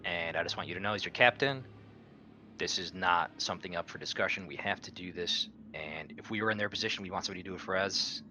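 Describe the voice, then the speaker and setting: A man playing a firm, dutiful space freighter captain in a tabletop game, authoritative but halting. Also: deep voice, pushed and bright, a little hiss or hum. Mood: firm, commanding.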